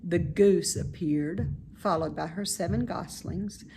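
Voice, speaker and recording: A woman reading a children's story aloud in expressive narration. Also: on the low side, slow, creaky, background noise. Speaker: storybook reader.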